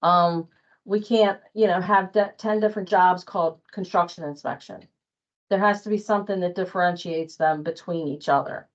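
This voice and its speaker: A woman's voice: lowish voice, moderate pace, muffled recording. A woman leading an informal workplace training walkthrough in a hesitant manner, often checking her points with a colleague. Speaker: training presenter.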